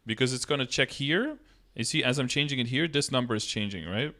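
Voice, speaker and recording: A man's voice: medium pitch, a little fast, bright, forceful tone. Male game streamer, thirties, mid-range voice, casual tutorial delivery, explains step by step. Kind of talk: casual live-stream tutorial, answering chat questions. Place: indoor room, streaming setup.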